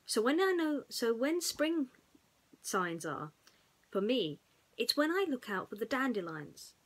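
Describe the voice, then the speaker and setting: A woman storyteller chatting to young children and narrating a bedtime story in an expressive way. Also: a little high, a little slow.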